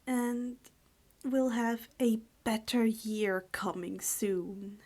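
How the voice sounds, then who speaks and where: Hushed, slow-paced woman storyteller. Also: fairly high pitch. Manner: hushed, subdued, keeping the voice down; halting, stumbling over words and correcting herself. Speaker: storyteller reading fan fiction aloud for a channel. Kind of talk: informal chat, then read-aloud narration.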